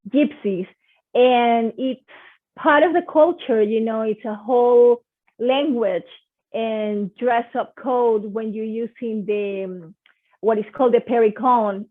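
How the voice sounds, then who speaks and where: Enthusiastic Venezuelan woman on a tinny line. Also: a little high. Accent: Venezuelan Spanish. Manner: enthusiastic, expressive. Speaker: guest performer. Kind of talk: casual online presentation. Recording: tinny phone-like audio.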